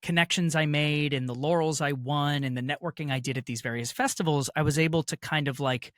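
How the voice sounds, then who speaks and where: A man's voice: on the high side, steady tone, a little fast, a bit bright, no echo, clean studio sound. An American man, a chatty and emphatic interview guest telling stories in a casual, jokey way. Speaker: interview guest, audio fiction producer. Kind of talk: casual conversational storytelling.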